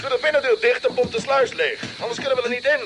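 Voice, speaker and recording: An actor playing an authoritative spaceship commander in an old science-fiction radio drama, speaking with insistent urgency. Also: male voice, very high voice, steady tone, fast, loud music behind the voice. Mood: urgent. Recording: very noisy old radio recording.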